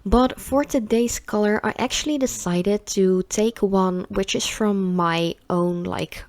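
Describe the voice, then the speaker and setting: A woman's voice: medium pitch, no room sound. Chatty Dutch woman with a dry sound. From Netherlands. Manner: rambly, many ums and likes, soft laughs. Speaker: nail polish vlogger. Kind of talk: chatty rambling vlog talk.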